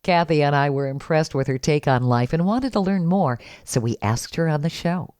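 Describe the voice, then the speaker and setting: A woman's voice: low-pitched, expressive, moderate pace, a little mellow, clear, resonant tone, dry recording. A woman co-hosting a podcast, reading an upbeat intro and then chatting enthusiastically with her guest. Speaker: podcast co-host. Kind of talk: scripted intro then casual interview.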